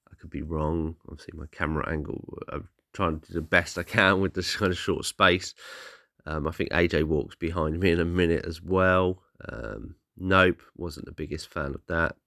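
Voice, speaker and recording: Guy with a really low, slightly croaky voice, rambling casual vlog voiceover, dry close sound. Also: fairly even intonation, normal speed, pushed and bright, no echo. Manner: apologetic, meandering. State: slightly hoarse voice. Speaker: vlogger, film collector. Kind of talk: casual rambling voice-over commentary.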